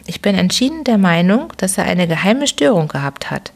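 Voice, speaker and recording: A woman voicing a calm, incisive detective who reasons in a measured, dryly ironic way in an audiobook. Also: mid-range voice, gravelly. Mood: deliberate. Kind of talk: audiobook character reading.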